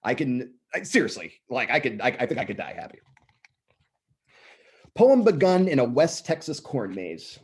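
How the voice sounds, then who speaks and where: A man in his thirties, slightly hoarse and often clearing his throat, reciting his own poems in a measured way and chatting loosely between them. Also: medium pitch, very animated. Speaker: poet reading his own work. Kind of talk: poetry reading with casual asides.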